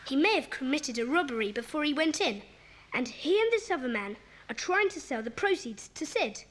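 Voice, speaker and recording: A woman playing a bossy, eager schoolboy leader in a children's audio drama, brisk, commanding and earnest. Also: sits high, big pitch swings, a bit bright. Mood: keen, earnest. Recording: hissy old cassette recording.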